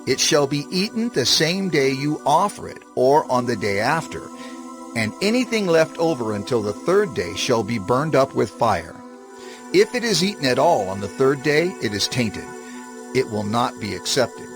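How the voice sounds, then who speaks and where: A stern lawgiver in an audio scripture drama. His mid-pitched voice moves widely as he stresses each formal command, with prominent music behind him. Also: sing-song, moderate pace. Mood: stern, emphatic.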